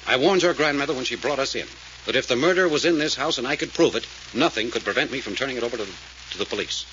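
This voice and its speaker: An actor playing a brisk, decisive detective in a 1940s radio mystery, firm and authoritative as he explains. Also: medium pitch, fairly bright tone, natural voice. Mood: grave, commanding. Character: brisk, decisive male detective, grimly certain. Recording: muffled old radio recording with noise.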